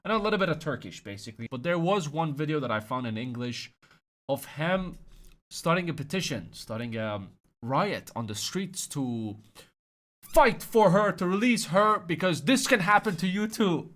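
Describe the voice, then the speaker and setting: A young man gives animated comedic commentary, mocking his subject with sudden loud outbursts. His voice sits a little high. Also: normal speed. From Iran. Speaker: commentary host.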